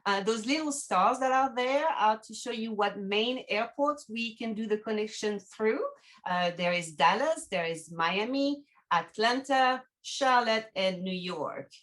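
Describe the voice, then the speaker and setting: A woman's voice: on the high side, expressive, unhurried, fairly bright tone. French-accented woman presenting a travel podcast. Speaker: podcast host, travel company team manager. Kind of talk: prepared video podcast presentation.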